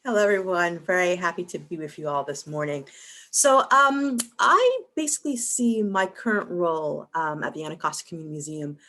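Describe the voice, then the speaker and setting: Low-voiced woman from Barbados, measured panelist. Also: sing-song, a bit bright. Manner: thoughtful, measured, frequent "um" and "and so". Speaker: museum staff panelist. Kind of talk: online panel discussion.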